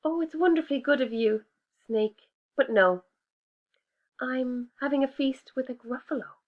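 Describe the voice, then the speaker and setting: Woman voicing a clever little brown mouse, high squeaky voice, storybook read-aloud, dull lo-fi sound. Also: moderate pace. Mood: polite, cheeky. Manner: sweet, polite, high and bright. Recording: dull lo-fi recording.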